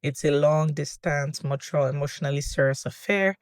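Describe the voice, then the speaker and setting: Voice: mid-range voice, moderate pace. A video host giving casual, reflective commentary on a TV drama, talking conversationally with small hedging asides.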